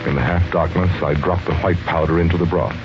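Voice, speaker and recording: An actor playing a cold, gloating murderer in a 1940s radio monologue. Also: male voice, sits low, steady tone, fairly quick, background noise, lightly acted. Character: cold, gloating wife-poisoner confessing in his study. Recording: muffled old radio recording. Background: music.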